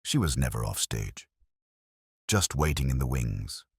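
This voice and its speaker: A man voicing a calm, courteous male police inspector who talks in a quiet, measured, grave way in an audiobook. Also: rumbling low, gravelly, breathy edge, dry recording, clean studio sound, pitched below the performer's own voice, full character voice.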